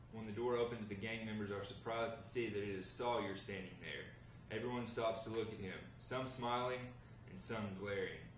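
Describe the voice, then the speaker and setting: A man with a lowish voice reads scripted stage directions as a narrator. He is some distance from the mic in a roomy space, with faint noise. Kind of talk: scripted narration at a table read.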